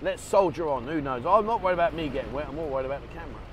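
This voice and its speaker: A man's voice: a little high, racing, crowd noise. A man chatting casually, rambling and swearing now and then as he rides a motorbike through town with a handheld camera. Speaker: vlogger. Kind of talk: casual running commentary. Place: outdoors on a motorbike in town traffic, rain. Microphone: action camera held in hand. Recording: street and traffic noise behind.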